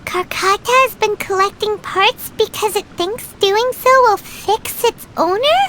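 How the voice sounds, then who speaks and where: A woman voicing a small chirpy fairy companion in a game cutscene, with a high squeaky childlike voice. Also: measured pace, fairly bright tone, slight background noise, low-quality recording. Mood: curious.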